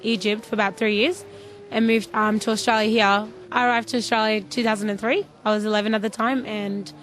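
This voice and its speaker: A woman in her twenties from Sudan introducing herself quickly and casually. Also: mid-range voice, fairly even intonation, fairly bright tone, rough recording. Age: 20s. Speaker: interviewee. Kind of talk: brief self-introduction.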